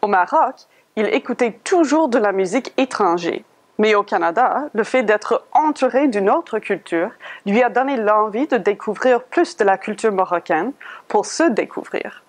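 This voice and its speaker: A woman giving a scripted virtual museum tour, reading a prepared text and putting questions to the listener. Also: medium pitch. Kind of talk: scripted guided-tour narration.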